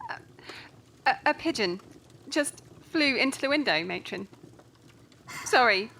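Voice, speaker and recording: Young Victorian nurse, audio drama: irreverent, giggly, slow halting delivery, very high voice. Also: female voice, expressive, vocal fry, pushed and bright, a little hiss or hum, heavily acted, low-quality recording. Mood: amused, sheepish.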